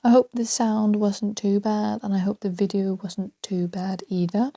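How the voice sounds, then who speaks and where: A woman with a Danish accent rambling freely on her solo podcast, talking softly and often dropping to a half-whisper, with small laughs between thoughts. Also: mid-range voice, flat delivery, unhurried, no room sound, clean studio sound. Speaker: podcast host.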